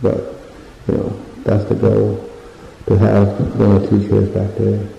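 A man's voice: on the low side, completely flat delivery, slow pace, creaky, warm tone, a little hiss or hum. A man walking through his unfinished basement with a handheld phone, talking casually and rambling as he hunts for words and points things out. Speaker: home renovation vlogger. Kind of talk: casual walkthrough narration. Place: unfinished basement room with bare drywall, indoors. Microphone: handheld phone camera. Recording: echoey bare room, dull lo-fi sound.

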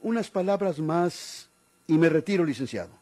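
A man's voice: a little high, expressive, moderate pace, dark tone. An older Mexican man acting in a golden-age radio drama as a proud retired policeman, formal and deferential yet stubbornly insistent. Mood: wounded pride. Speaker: actor.

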